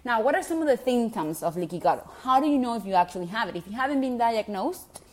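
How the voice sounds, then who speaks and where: A woman's voice: on the high side, lively intonation, fast. A woman from Venezuela with a Venezuelan Spanish accent, Spanish being her first language. She speaks as a gut health coach to her online group, chatty and encouraging, with many ums and restarts. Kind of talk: casual direct-to-camera talk to an online group.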